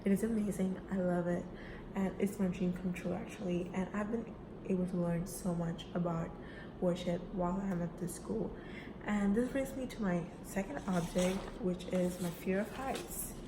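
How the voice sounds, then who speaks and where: Young woman from the UAE, relaxed class talk, full of fillers, slightly low voice, recorded in a noisy echoing room. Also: moderate pace. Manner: casual, chatty, with frequent fillers like "right?" and "you know". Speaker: student giving a class speech. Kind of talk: personal self-introduction speech. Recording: echoey room with background noise.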